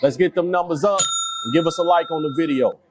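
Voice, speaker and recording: Male group member, somewhat high voice, subscribe plug, dry sound. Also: fast talker, no echo. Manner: upbeat request to subscribe. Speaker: organization member. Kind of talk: channel promo.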